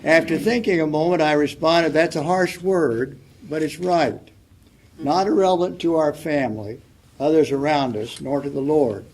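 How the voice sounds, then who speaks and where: An older man with a soft voice reads his letter aloud at a public forum. He speaks in a reflective, unhurried way, and his voice sits a little higher than most men's. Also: slight background noise, low-quality recording. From United States. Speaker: panelist.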